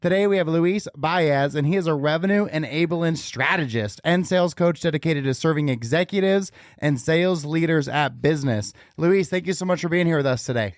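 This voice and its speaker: A man's voice: a little high, fairly quick, few pauses, no echo. Male podcast host, dry close sound. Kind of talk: conversational podcast interview.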